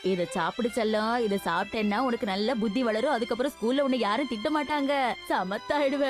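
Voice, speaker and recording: A woman's voice: fairly high pitch, a little fast, natural voice. A woman playing a stern but doting shopkeeper mother in a cartoon dub, with a southern Tamil Nadu accent. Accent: Tamil Nadu southern regional Tamil. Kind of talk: animated cartoon dub acting. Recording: music behind the voice.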